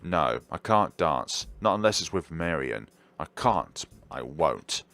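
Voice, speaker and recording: A man's voice: a bass voice, very animated, slow pace, vocal fry, a bit bright. A man reading a visual novel aloud and giving blunt opinions. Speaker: gaming YouTuber.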